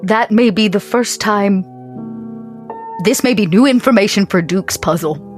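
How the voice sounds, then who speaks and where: A woman's voice: mid-range voice, measured pace, soft background music. A woman playing a tabletop roleplaying game, chatting casually about what her character does.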